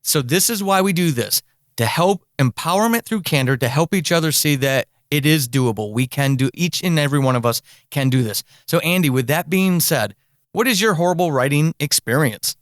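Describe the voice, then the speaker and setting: A man's voice: fairly high pitch, expressive, normal speed, no room sound, clean studio sound. A man hosting a podcast interview, speaking conversationally in an earnest, encouraging way and repeating words for emphasis. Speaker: podcast host.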